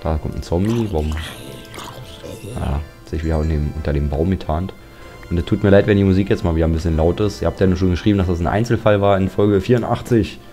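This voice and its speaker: Deep, chatty man over game music. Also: quick pace, dark tone. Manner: chatty, joking, grumbles at mobs. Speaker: Let's Play commentator. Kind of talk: casual gameplay commentary.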